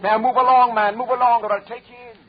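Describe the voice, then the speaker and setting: An actor playing a brusque Irish policeman in a radio play, officious and loud. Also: extremely high pitch, level tone, fast talker, breathy edge. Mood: impatient. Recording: muffled vintage radio recording.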